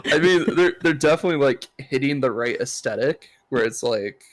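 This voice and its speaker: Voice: mid-range voice, expressive, moderate pace, no echo. A game streamer co-hosting a visual novel playthrough, moving between dramatic narration and casual chat.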